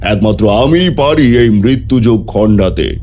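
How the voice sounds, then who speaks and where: A man's voice: lowish voice, animated, unhurried, a little mellow. A man voicing a grave, boastful tantric holy man who speaks portentously in a horror cartoon. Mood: ominous, commanding. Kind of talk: dramatic horror cartoon voice acting.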